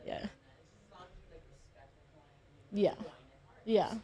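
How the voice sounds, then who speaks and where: A woman's voice: drawn-out words, dark tone, rough recording. A young woman from Hawaii talking casually and conversationally as a guest on a podcast. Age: young adult.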